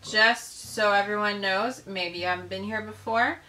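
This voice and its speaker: Woman, 30s, home lifestyle vlogger; crisp bright tone, chatty, roomy sound. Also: medium pitch, steady tone, normal speed. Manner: chatty, explains things for viewers, laughs easily. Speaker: channel host, wife. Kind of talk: casual chat. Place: living room, seated on a couch.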